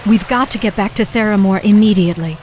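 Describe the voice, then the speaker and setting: A woman's voice: mid-range voice, fast talker, a little hiss or hum, lightly acted. An actress playing an earnest, determined young female sorceress in a game cutscene, speaking urgently. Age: young adult. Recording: game cutscene with soft score.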